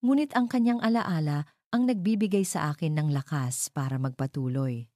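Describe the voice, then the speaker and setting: A woman voicing a scheming male CEO who gives a solemn, measured speech as a grieving widower in a dramatic story. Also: low-pitched, mellow, no room sound, clean studio sound, natural voice. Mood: feigned grief.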